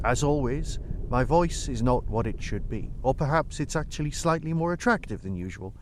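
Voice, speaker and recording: A man's voice: fairly high pitch, slight background noise. A man hosting a solo podcast in a casual, rambling way, with wry, self-deprecating asides. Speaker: podcast host and reviewer.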